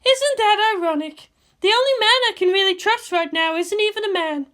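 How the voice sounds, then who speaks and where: A man's voice: very high-pitched, moderate pace, sharp tone, pitched above the performer's own voice. A man voicing a brash, world-weary young woman officer with an emotional, teasing manner in a fan fiction reading. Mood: wistful, bitter.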